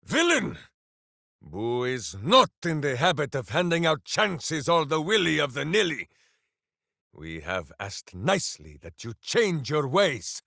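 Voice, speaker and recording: A boisterous, simple-minded video game ranger with a hero's earnest heart. He declaims theatrically at a slow, weighty pace, and his pitch leaps and plunges dramatically. The male voice is captured very dry and close, with no room around it. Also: very animated, creaky, sharp tone, dry recording, heavily acted. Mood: bombastic. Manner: grandiose third-person declamation, earnest and theatrical. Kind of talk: video game character voice lines.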